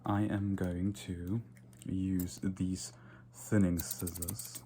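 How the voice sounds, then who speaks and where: A man playing a casual, fussy, slightly snobbish vampire barber in an ASMR roleplay, murmuring softly and drifting into whispers. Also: deep voice, level tone, extremely slow, a little hiss or hum, full character voice. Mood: pleased, fussy. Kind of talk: ASMR roleplay, soft close personal attention.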